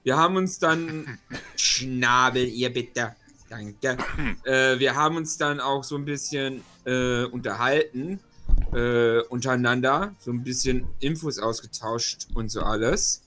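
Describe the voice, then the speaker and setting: A man's voice: mid-range voice, a little slow, sharp tone. A man chatting casually and informally as a player in a tabletop role-playing game. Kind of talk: casual, informal chat and recap.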